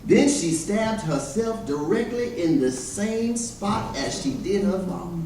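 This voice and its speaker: A man plays an old-time American storyteller spinning folksy tales for the stage. His voice is high and animated, heard from a distance in a very reverberant chapel with faint noise. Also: a little slow. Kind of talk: readers theater monologue.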